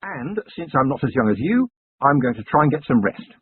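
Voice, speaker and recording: A man voicing a weary, gruff middle-aged police commander with a dry, sardonic manner in an audiobook. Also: mid-range voice, big pitch swings, brisk, voice pushed up. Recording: tinny lo-fi narration recording.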